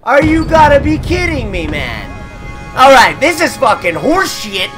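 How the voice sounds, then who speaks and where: A young man streaming a war game, loud, swearing and yelling at the action, into a headset mic in a small room. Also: extremely high pitch, very animated, normal speed. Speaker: gaming streamer. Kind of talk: let's play commentary. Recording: loud game music and gunfire behind.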